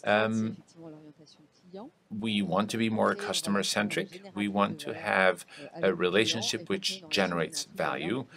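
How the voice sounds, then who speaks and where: A man giving live English interpretation of a panel discussion, halting with frequent restarts and fillers. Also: lowish voice, fairly even intonation, creaky, crowd noise. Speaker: simultaneous interpreter voicing panel speakers.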